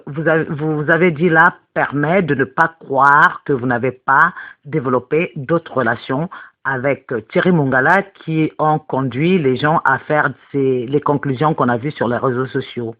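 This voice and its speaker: Male interviewer, probing questions, tinny telephone line, somewhat high pitch. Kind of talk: journalistic questioning.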